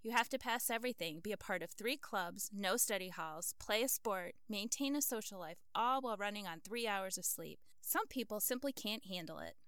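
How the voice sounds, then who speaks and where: A woman's voice: mid-range voice, expressive, normal speed, few pauses, fairly bright tone, smooth, no room sound, clean studio sound, natural voice. A woman playing a frank older wife in an audio drama, speaking in an earnest, lecturing way. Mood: earnest, reproving.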